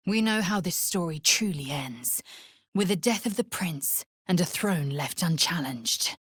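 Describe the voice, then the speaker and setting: Raspy, haughty alien warrior woman. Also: lowish voice, lively intonation, no echo, clean studio sound. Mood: haughty, indignant. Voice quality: husky, raspy, breathy edge. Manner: clipped, imperious, disdainful, deliberate. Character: fierce, proud githyanki warrior woman, haughty and blunt. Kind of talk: video game character voice acting.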